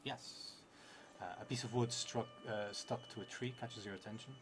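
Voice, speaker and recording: A man's voice: mid-range voice, fairly even intonation, moderate pace, background noise. A man in his thirties narrating a solo tabletop role-playing game on a stream, reading prompts aloud and musing to himself. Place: indoor streaming setup in a theatre venue.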